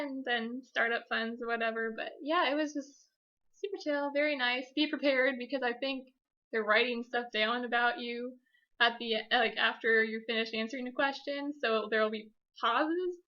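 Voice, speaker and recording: Young female vlogger: very bright, higher-pitched voice, chatty and self-deprecating, slightly dull lo-fi sound. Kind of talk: casual personal vlog storytelling.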